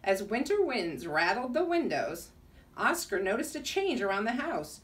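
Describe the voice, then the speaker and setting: A middle-aged woman teacher reading a children's storybook aloud in an expressive way. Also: mid-range voice, moderate pace. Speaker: second grade teacher reading a bedtime story. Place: small school room, indoors. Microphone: camera a few feet away. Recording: distant mic in a room.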